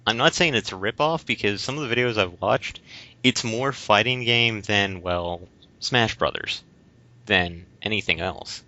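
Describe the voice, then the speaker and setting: Male podcast co-host, deep voice, informal halting conversational delivery, dry lo-fi recording. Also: sharp tone, slight background noise. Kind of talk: casual discussion of video games. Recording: dull lo-fi close voice recording.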